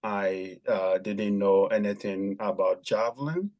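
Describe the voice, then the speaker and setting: Man, lowish voice, podcast guest, hesitant, lots of ums. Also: muffled recording. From Ghana. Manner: hesitant, many ums and pauses. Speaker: guest, former javelin athlete. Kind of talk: conversational podcast storytelling.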